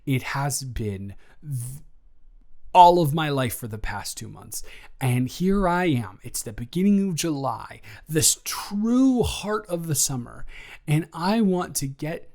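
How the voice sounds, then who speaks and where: A man playing a flustered, evasive young roommate in an improvised audio roleplay, rambling and halting with theatrical exasperation. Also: a little high, animated, a little mellow. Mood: dramatic pleading. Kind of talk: improvised intimate roleplay monologue.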